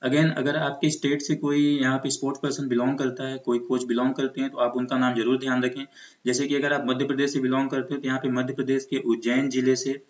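Echoey, muffled Indian man giving a lesson. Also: mid-range voice. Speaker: teacher for exam preparation. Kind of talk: explanatory lecture mixing in English terms. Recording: muffled, echoey room recording.

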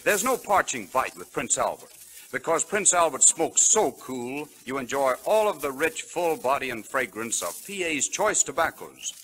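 An American man reading a polished, scripted sales pitch as a 1930s radio announcer. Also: very animated, moderate pace, a bit bright, a little hiss or hum, low-quality recording. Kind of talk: 1930s radio advertising read. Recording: vintage 1930s radio broadcast.

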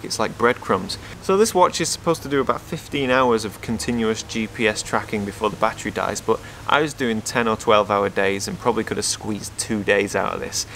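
A man's voice: on the low side, fairly bright tone, a little hiss or hum. A man in his thirties from England, chatting casually to camera in a garden while reviewing his hiking gear, upbeat and full of asides. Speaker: outdoor photographer and vlogger. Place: outdoor garden patio in front of a brick house. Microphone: camera on a stand facing him, close.

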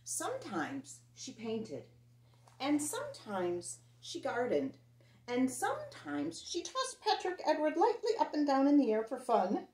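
Expressive middle-aged woman reading a storybook, roomy sound. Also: high-pitched. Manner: expressive, theatrical emphasis with dramatic pauses. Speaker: children's storyteller reading a picture book aloud. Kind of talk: dramatic read-aloud for young children. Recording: roomy echo on the voice.

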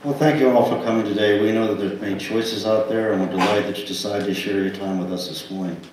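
An older man reading a formal, measured welcome to a congregation as a church trustee. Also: fairly low pitch, steady tone. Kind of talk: scripted service welcome and announcements. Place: small church sanctuary, indoors. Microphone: pulpit microphone, picked up by distant camera. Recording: echoey church hall, distant mic, faint noise.

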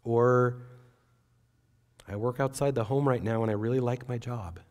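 A man in his forties, a pastor, preaching an earnest, measured sermon from a pulpit on a church stage. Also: mid-range voice, steady tone, a little mellow.

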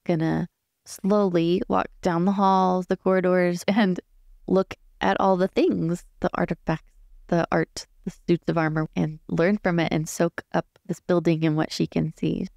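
A woman's voice: on the low side, level tone, unhurried, gravelly, a little mellow, dry recording, clean studio sound. A woman chatting casually as a player in a tabletop roleplaying game, describing what her character does.

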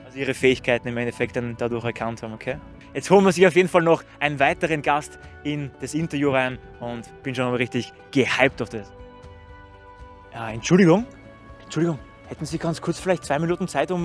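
A man's voice: fairly high pitch, a little fast, soft background music. A young man doing street interviews outdoors in a city, talking into a handheld microphone in an upbeat, promotional way. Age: young adult.

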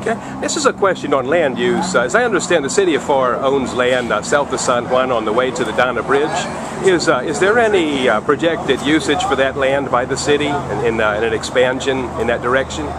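A man's voice: medium pitch, fairly quick. A man interviewing a mayor, restarting and rephrasing his questions. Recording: loud outdoor traffic noise.

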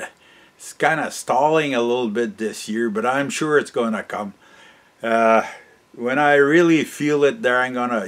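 A man in his sixties with a Canadian accent, telling stories casually in a folksy, halting, jovial way as a vlog host. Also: mid-range voice, fairly bright tone. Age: 60s. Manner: folksy, halting, often tags sentences with "eh"; jovial, chuckles often. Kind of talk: casual rambling storytelling to camera. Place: cluttered garage workshop, indoors.

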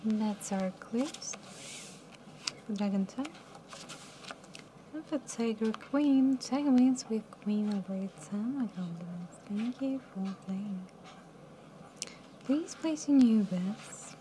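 Soft-spoken young woman card dealer. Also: a little high, takes it slowly, pauses often, slight background noise, poor audio. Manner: soft, mumbly, drops to near whisper at round ends. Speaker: live casino dealer. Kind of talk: calling card game rounds. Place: casino studio table.

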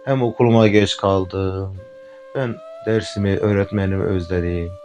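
A man's voice: deep voice, fairly even intonation, normal speed, a little mellow, lo-fi sound, faint music behind, close to an everyday voice. A man voicing a small, earnest schoolchild ant who talks in a pleading, anxious way.

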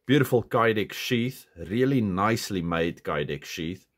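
A man's voice: lowish voice, expressive, a little slow, a bit bright. A man from South Africa with a stuffy, nasal voice from a cold, chatting casually through a hands-on knife review at his desk, full of "okay" and "um". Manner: chatty, self-correcting, lots of "okay" and "um". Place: indoors at a desk. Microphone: camera on the desk, hands-only framing.

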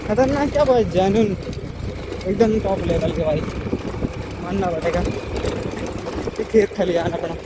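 A man's voice: sits high, normal speed, long pauses, very noisy. A young man talking casually to viewers into a phone camera held at arm's length, worn out after a workout. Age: young adult. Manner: chatty, informal, addressing viewers as brother. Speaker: vlogger. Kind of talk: casual selfie vlog. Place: outdoors on a motorbike and in a small gym. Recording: phone audio with loud music over it. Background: loud music.

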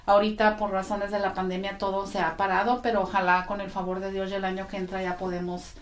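A woman's voice: mid-range voice, little pitch movement, quick pace. A woman in her forties, an immigration legal representative, explains steps in a friendly way, often adding tag questions. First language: Spanish. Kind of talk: informative interview talk. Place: small office with bookshelves, indoors. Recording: echoey office room.

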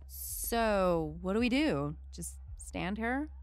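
A sarcastic, guarded young woman played by an actress speaks with blunt, casual wryness. Her delivery is unhurried, with a mid-range pitch that swings expressively, in audio drama style. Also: lively intonation. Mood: wry, reluctant.

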